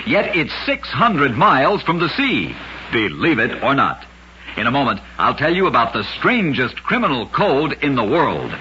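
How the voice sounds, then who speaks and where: Animated bright-voiced man, vintage radio storyteller. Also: medium pitch, normal speed, lo-fi sound. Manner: dramatic, emphatic storytelling delivery. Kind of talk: scripted narration of short oddity stories, vintage radio. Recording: noisy, band-limited vintage radio recording.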